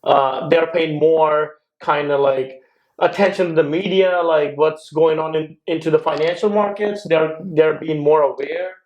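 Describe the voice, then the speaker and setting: A man's voice: fairly high pitch, fairly even intonation, measured pace, highs cut off. A man from India, a guest investor in a casual podcast chat, talking with many "uh"s and "like"s. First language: Gujarati or other Indian language. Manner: hesitant, many fillers like "uh" and "like".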